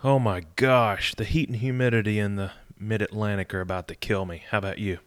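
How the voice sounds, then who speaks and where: A man's voice: fairly low pitch, fairly even intonation, brisk, smooth. A man co-hosting a podcast, easygoing and jokey. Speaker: podcast co-host. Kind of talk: casual chat.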